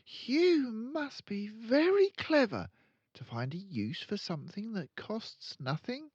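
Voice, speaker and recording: A man plays a clever little storybook girl with a high, lifted voice. She is polite and innocent on the surface, slyly needling underneath, with very swoopy intonation. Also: big pitch swings, takes it slowly, darkish tone, slightly rough, no echo, dull audio, full character voice. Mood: innocently curious. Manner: innocent questioning, sweetly needling.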